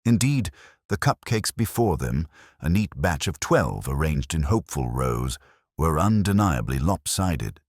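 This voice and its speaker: A middle-aged man reading a scripted comic mystery story in a dry, measured, wryly grave manner. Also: rumbling low, big pitch swings, no room sound. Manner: dry, measured, wry mock-gravity. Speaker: narrator.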